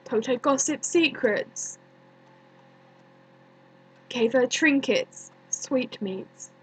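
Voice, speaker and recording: A woman performing a dramatic stage monologue as a bitter, grieving young woman, deliberate and accusing, with many pauses. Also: high voice, lively intonation, a little hiss or hum, exaggerated voice, poor audio. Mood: resentful, accusing. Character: bitter grieving young woman haunted by her dead cousin.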